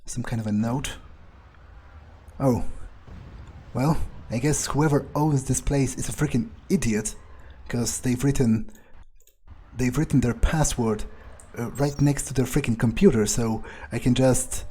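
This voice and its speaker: A resentful fledgling vampire , voiced by a man, thinking aloud through a game playthrough. His voice is mid-pitched, soft-edged and husky. He mutters suspiciously and hesitantly, grumbling as he improvises. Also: a little slow. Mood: musing. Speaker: gaming video player.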